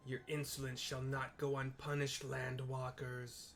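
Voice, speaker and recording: A man's voice: mid-range voice, monotone, unhurried, slight background noise, close to an everyday voice. A man voicing a huge, cruel winged female deity in a fantasy game, haughty and theatrical with archaic phrasing. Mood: menacing.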